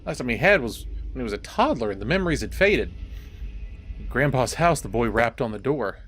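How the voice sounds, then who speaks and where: A man's voice: mid-range voice, a little fast, a little hiss or hum. A man hosting a horror game, mixing playful, wisecracking commentary with story reading. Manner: animated, wisecracking.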